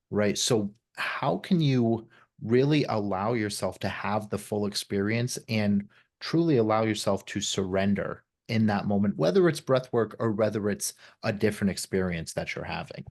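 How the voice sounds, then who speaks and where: A man's voice: fairly low pitch, darkish tone. A man hosting a podcast, speaking reflectively in casual conversation and often checking in with the listener. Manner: reflective, often adds "right?". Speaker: podcast host.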